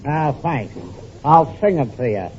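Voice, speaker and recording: An actor playing a cheeky, excitable young male telegram messenger in 1940s radio comedy, gleeful and repeating himself. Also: on the high side, unhurried, breathy edge. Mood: eager. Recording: muffled, noisy 1940s radio recording.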